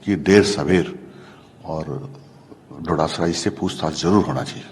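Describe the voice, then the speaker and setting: A man giving a halting, accusatory press statement. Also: rumbling low, a little mellow. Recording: reverberant hall, faint background noise.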